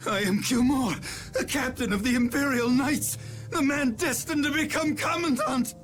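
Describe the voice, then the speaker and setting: A man's voice: very high-pitched, moderate pace, fairly bright tone, soft background music. A man playing a vain, cowardly noble knight captain in a video game, with a haughty, theatrical, pleading delivery. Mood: pompous. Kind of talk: dramatic video game voice acting.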